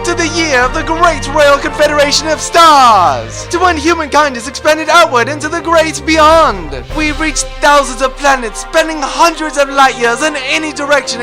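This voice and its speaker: Booming, high-pitched retro serial announcer. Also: male voice. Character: bombastic old-time adventure serial announcer. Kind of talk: retro radio serial narration.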